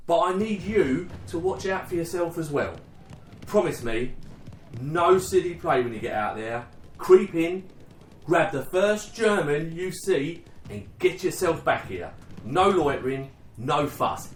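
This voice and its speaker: Man acting a careworn British sergeant, highish, expressive. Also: measured pace, recorded from a distance. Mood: pleading, grave. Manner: firm, earnest, paternal. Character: dutiful, careworn British army sergeant, protective of his men. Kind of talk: war radio play acting. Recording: roomy, off-mic, faint background noise.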